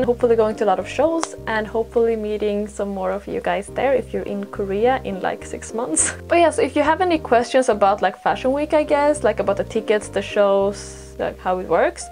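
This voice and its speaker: A young woman from Sweden, a native Swedish speaker, chatting casually and telling stories in an informal, self-deprecating way with rambling asides. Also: a little high. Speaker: podcast host and vlogger. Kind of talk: casual chatty storytelling to camera.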